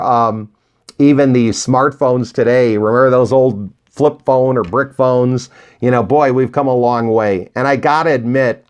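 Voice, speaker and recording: A man's voice: mid-range voice, clear, resonant tone. A man coaching beginners in a motivational tutorial style, with a folksy, emphatic manner, rhetorical questions and little acted-out examples. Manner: animated, acts out imagined dialogue; folksy, encouraging. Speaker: real estate investing coach. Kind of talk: motivational tutorial talk to viewers.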